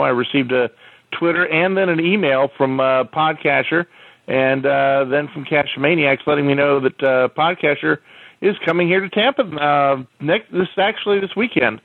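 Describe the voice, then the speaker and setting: A man co-hosting a podcast by phone, easygoing and pausing often with "uh". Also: mid-range voice, no room sound, narrow, tinny audio. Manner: easygoing, many "uh" pauses. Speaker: podcast co-host. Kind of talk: casual podcast chat.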